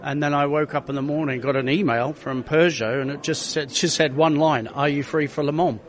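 A man's voice: on the high side, fairly even intonation, fairly quick. A middle-aged man, a racing driver, chatting through his stories with many restarts. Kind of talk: casual interview storytelling.

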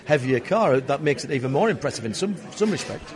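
A man interviews his guest with eager, quick questions. His voice is fairly high and swings a lot in pitch, and he cuts in with short prompts. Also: expressive. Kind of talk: casual interview.

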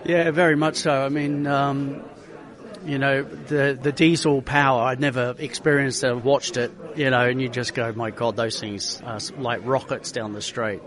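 A man, a racing driver being interviewed, who tells his story in a lively way and acts out quotes with many restarts. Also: mid-range voice, normal speed.

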